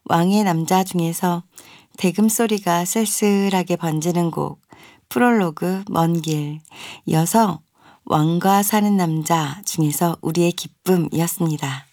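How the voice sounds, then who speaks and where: A woman's voice: on the low side, unhurried, a little mellow, no echo, clean studio sound. A young woman hosting a radio music show, chatting casually and reading listener messages with friendly asides. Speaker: radio host.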